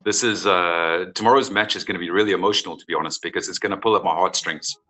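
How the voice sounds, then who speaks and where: A man's voice: low-pitched, quick pace, bright, forceful tone. A middle-aged man from South Africa, a football coach, answering interview questions in an earnest, explanatory way. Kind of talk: conversational interview.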